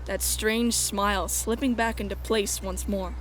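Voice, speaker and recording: A woman's voice: mid-range voice, fairly even intonation, measured pace, a little hiss or hum, low-quality recording. A woman reading scripted first-person story narration as the narrator of a dramatic fan dub. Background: music.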